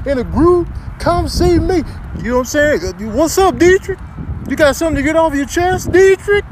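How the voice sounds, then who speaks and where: Guy as a scrappy talking animal, high voice, cocky n slangy, noisy clip. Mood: challenging. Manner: slangy, confrontational, drops "man" and "bro" constantly. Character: cocky, aggrieved talking animals itching for a fight.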